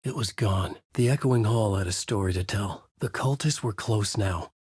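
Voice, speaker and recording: An actor playing a tormented, haunted horror novelist, narrating a video game in grave, brooding noir fragments with a husky voice. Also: male voice, fairly low pitch, moderate pace, a little mellow, dry recording, clean studio sound. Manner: grave, literary, brooding delivery in short fragments.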